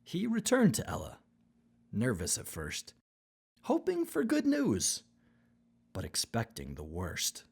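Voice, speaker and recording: Very low, husky woman storyteller. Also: wildly swooping pitch, slow pace, long pauses, a little mellow. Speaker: storybook narrator. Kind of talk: expressive rhyming children's read-aloud.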